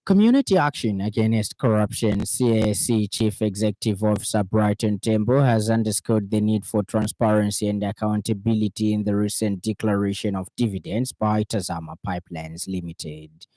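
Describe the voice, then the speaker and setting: A male radio news anchor with a Zambian accent reads scripted bulletins in a formal, measured way. His voice sits low and has a dark, mellow tone. The sound is dry and close. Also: no echo. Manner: measured, formal newsreader delivery.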